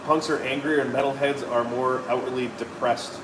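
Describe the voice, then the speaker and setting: A man's voice: medium pitch, fairly even intonation, moderate pace, reverberant, recorded from a distance, noisy background. A man in his thirties chatting casually and playfully on a live stream, reading viewer comments aloud. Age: 30s. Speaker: co-host reading live chat.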